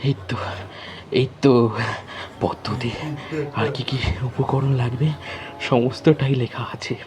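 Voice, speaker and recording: Male horror storyteller, medium pitch, dramatic and halting with repeated phrases, noticeably noisy background. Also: flat delivery.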